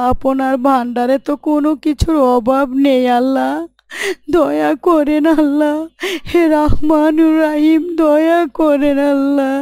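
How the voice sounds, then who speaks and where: Devout poor wife played by a woman, high voice, earnest, tender, imploring, dry sound, cartoon story. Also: warm tone, dry recording. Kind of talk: Islamic moral story cartoon acting.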